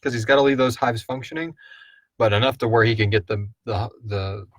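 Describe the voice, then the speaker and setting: A man hosting a casual question and answer show, talking like an animated storyteller. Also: medium pitch, a little fast, no room sound. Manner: animated storyteller, acts out quotes, swears casually. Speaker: host of an audio drama Q&A show. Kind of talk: casual fan Q&A chat.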